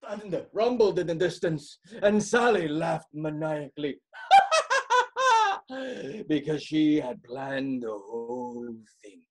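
A man performing a theatrical comedic monologue as a pompous, melodramatic amateur writer with a husky voice and dramatic pauses. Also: on the high side. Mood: melodramatic suspense. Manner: smug, animated, chuckling, dramatic pauses.

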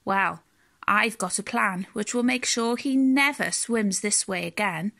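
A woman voicing a clever, curious squid who calmly takes charge, in a bedtime story. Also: medium pitch, measured pace, creaky. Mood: confident, scheming.

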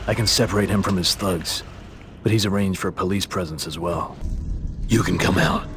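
Low, gravelly brooding vigilante. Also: male voice, normal speed. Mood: grim, controlled. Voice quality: hushed, gravelly voice. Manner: terse, intimidating. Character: brooding masked vigilante hero in his thirties. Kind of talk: video game cutscene acting.

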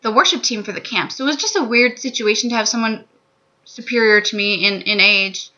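A woman's voice: a little high, brisk, sharp tone. A woman in her twenties telling a personal story candidly to camera in a small room on a webcam mic. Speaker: vlogger sharing a personal testimony. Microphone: webcam or laptop mic at desk distance. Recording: dull lo-fi webcam audio.

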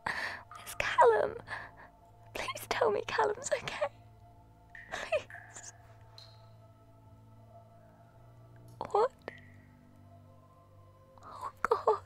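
Captive young woman, tearful whispered pleas, very high and slow, a woman acting her. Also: soft background music, exaggerated voice. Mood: frightened pleading. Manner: breathy tearful whispering, pleading. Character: frightened young woman student held captive. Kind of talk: audio roleplay drama.

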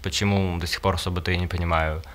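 A sixteen-year-old from Volgograd, a high school student, speaking in an offhand, shrugging way. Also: male voice, level tone, fast talker, a bit bright. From Volgograd, Russia.

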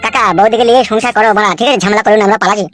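A man's voice: high voice, steady tone, very fast, a bit bright, faint music behind. A man voicing a whiny, foul-mouthed young village husband quarrelling in a comic cartoon. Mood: aggrieved, defiant.

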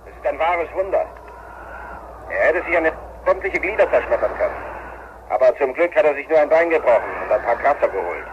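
An actor playing a businesslike expedition leader in a radio drama, giving clipped orders over a walkie-talkie. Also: male voice, fairly high pitch, expressive, a little fast. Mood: urgent, businesslike. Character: businesslike male expedition leader directing a rescue. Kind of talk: radio play acting. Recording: dull, noisy two-way radio link.

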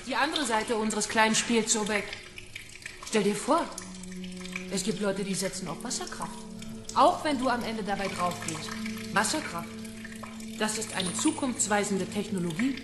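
A woman's voice: mid-range voice, expressive, moderate pace, pauses often, close to an everyday voice. An actress playing a cold, vengeful female kidnapper in a crime radio drama, mocking and menacing. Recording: echoey room.

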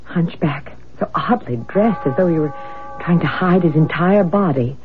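A woman's voice: deep voice, normal speed, natural voice. A woman playing a frightened, exasperated housewife in a radio drama, anxious and fretful, on a tinny old broadcast. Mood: nervous.

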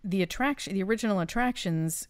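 A middle-aged woman co-hosting a casual home podcast, chatting enthusiastically and reminiscing, close on a studio boom mic. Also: fast talker, no echo. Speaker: podcast co-host. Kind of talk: casual chat. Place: home studio room with shelves of collectibles. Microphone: studio mic on boom arm.

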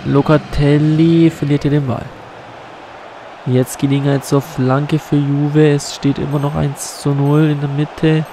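A man's voice: a little high, level tone, unhurried, mellow, a little hiss or hum. A man with a cold and a blocked nose, commenting on a football video game he is playing, recorded on a USB condenser mic at home. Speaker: gaming YouTuber.

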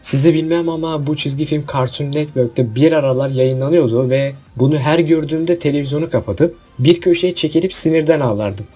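A man's voice: on the high side, a little fast, hardly any pauses, mellow, soft background music. A man hosting a casual list video, giving opinionated commentary with jokey asides and rhetorical questions. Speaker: video host.

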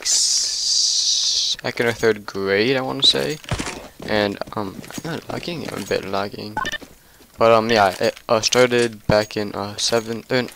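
A young man talks casually over his gameplay, stuttering on many words and filling the gaps with ums and restarts. His voice sits a little low and he sounds tired. A faint hiss runs under his desk mic. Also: creaky, sharp tone. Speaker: gaming channel host.

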